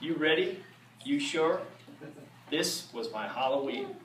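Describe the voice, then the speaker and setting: A man reads a rhyming story aloud with playful asides. His voice is on the high side for a man. He is heard from some distance in a reverberant room with steady background noise. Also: slow pace. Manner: playful, jokes between rhymes. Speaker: reader at a storytime. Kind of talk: reading a rhyming picture book aloud. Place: room with a live audience. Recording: echoey room from far off, noisy.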